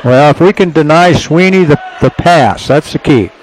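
A man's voice: a little high, expressive, moderate pace, low-quality recording. A man giving radio color commentary on a basketball game as a coach, talking in a chatty, folksy way with reflective asides. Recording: live gym broadcast with crowd noise.

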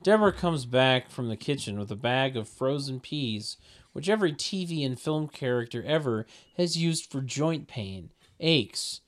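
Man, medium pitch, wry narrator, stage directions, mocking asides. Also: lively intonation, measured pace.

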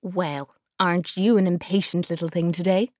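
A woman's voice: lowish voice, steady tone, moderate pace, darkish tone, no room sound, studio quality, lightly acted. A woman voicing a welcoming older grandmother whose voice is hard and catches in her throat. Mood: warm welcoming.

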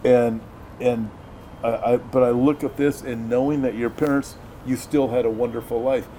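Male American pastor as interviewer, soft mellow tone, earnest and emphatic, faint noise behind. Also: medium pitch, steady tone, normal speed. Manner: earnest, emphatic, repeats phrases.

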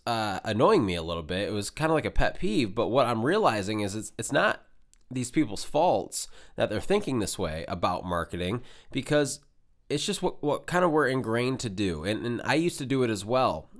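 A man's voice: lowish voice, fairly bright tone. A young man hosting his own podcast, talking casually and directly to listeners while sounding nasal from a cold. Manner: direct, coaching, fillers like "right?" and "okay?". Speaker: podcast host. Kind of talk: casual solo podcast talk.

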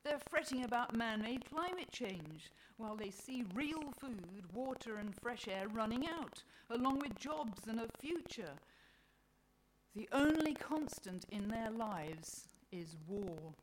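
A flustered, sorrowful God, acted out by a woman stammers earnestly through storybook dialogue. The delivery is hesitant and well-meaning, pushed up in pitch, with noise on the recording. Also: expressive, takes it slowly. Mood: pleading. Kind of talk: dramatic reading of satirical storybook dialogue. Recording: clearly noisy live recording.

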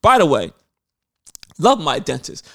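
A man co-hosting a relaxed podcast, winding through long stories and slipping into other people's voices when he quotes them. His voice sits a touch high. The sound is close and dry, with no room echo. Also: measured pace, creaky. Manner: rambling, animated storytelling with quoted voices. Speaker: podcast co-host. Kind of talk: casual podcast chat.

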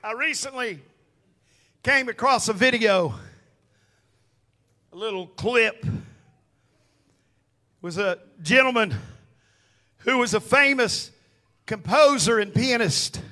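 A male preacher with a strikingly high, piercing voice. He preaches in unhurried, drawn-out phrases, pressing each point and calling on the congregation to respond. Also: expressive, sharp tone. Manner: emphatic, calls on the congregation to respond. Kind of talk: sermon to a congregation.

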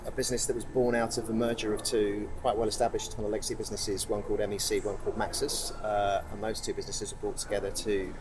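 A man answers interview questions quickly, his voice lowish, and he rambles with plenty of fillers. Clear background noise, including passing traffic, runs behind him. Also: little pitch movement. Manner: fast, rambling, heavy fillers. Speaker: interview guest, agency executive.

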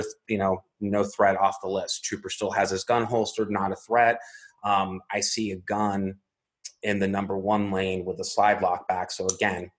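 A man with a husky voice, an interview guest recounting events methodically, step by step, with frequent pauses. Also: low-pitched. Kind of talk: recounting a personal incident in an interview.

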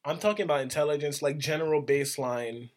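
A man's voice: on the high side, fast talker. A young man co-hosting a podcast in casual chat, laying out points and rambling through hypothetical examples. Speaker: podcast co-host.

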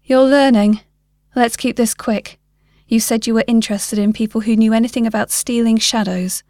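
Paranoid conspiracy theorist, male, late fifties, played by a female storyteller. Gruff, hectoring, urgent; pitched up in emphasis, very dry sound. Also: fairly even intonation, moderate pace, darkish tone, no room sound, close to an everyday voice. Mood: intense, urgent. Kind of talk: short story character dialogue.

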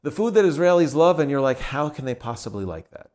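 A man's voice: a little high, sing-song, a little mellow. Easygoing male interview host. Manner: easygoing, quick affirmations, laughs along. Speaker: podcast host. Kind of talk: casual conversational interview.

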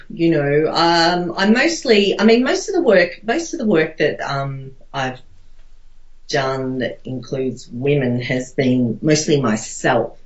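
A woman chatting casually in an interview, hesitant and thinking aloud as phrases trail off. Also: a bass voice. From Australia. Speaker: interviewee, artist. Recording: dull lo-fi, roomy echo.

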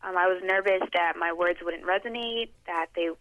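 A woman's voice: on the low side, no echo. Thoughtful young woman with dull, dry sound. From Boston. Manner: thoughtful, measured, hesitant with frequent "um". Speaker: guest poet. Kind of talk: interview conversation.

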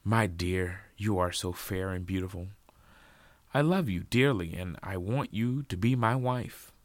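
A man's voice: low voice, a little slow, dry recording. A man voicing a lovestruck eighth-grade boy who declares his love earnestly in flowery words in a told story. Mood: adoring. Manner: flowery, declaring romantic speech.

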